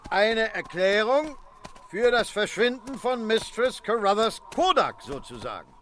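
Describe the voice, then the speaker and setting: Blustery colonial colonel, swooping pitch. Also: male voice, very animated, a little slow, a bit bright, slight background noise, exaggerated voice. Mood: excited scheming. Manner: gruff, pompous, exclamatory. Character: blustery, irritable British colonial colonel on expedition. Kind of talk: comic radio play acting.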